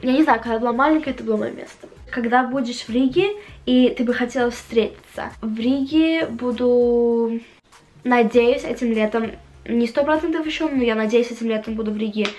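A young woman with a fairly high-pitched voice talks casually to her camera in a small room at home. Her Russian carries an English-influenced accent. She speaks in a halting way, searching for words as she answers questions as a vlogger. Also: a little mellow. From Latvia. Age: young adult.

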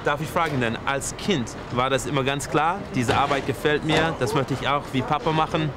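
A man's voice: on the high side, brisk, background noise. An American man speaking German as a learner with small grammar slips, enthusiastically interviewing people outdoors at a Christmas market. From United States. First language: English. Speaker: host, touring reporter. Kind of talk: casual on-location interview. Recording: outdoor market with loud music. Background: music.

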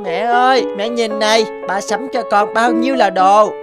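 A woman voicing an eager, polite young schoolboy in an animated cartoon. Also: fairly high pitch, moderate pace, over loud music, lightly acted. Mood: excited.

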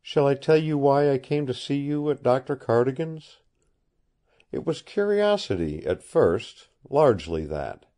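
A man reading an audiobook and voicing a young woman of about twenty, who speaks softly, tired and pleading. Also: medium pitch, pitched above the performer's own voice, close to an everyday voice. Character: earnest young woman, weary and secretive.

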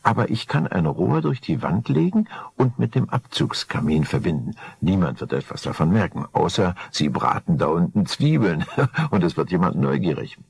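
A man's voice: deep voice, big pitch swings, moderate pace, vocal fry, husky, heavily acted. A man voicing a discreet professional architect, matter-of-fact and expert, in an audiobook crime story. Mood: practical. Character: discreet professional architect, skeptical yet keen.

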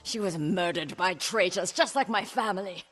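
A woman's voice: fairly low pitch, normal speed, fairly bright tone, slightly rough, faint music behind. An actress playing a vengeful older noblewoman warrior in a video game, intense, bitter and commanding. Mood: bitter resolve. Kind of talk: dramatic video game acting.

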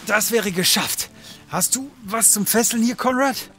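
A man's voice: high-pitched, a little slow, pushed and bright. A man playing a cocky occult detective in a comedy audio drama, wry and full of bravado. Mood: brisk, bossy. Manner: wry, cocksure. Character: cocky male occult detective, fear hidden under bravado.

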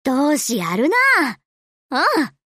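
A woman's voice: high-pitched, expressive, slow pace, darkish tone, slightly rough, no echo, clean studio sound, exaggerated voice. A woman voicing a cool, tomboyish young anime warship girl in an offhand, blunt game-acting style. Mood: pleased.

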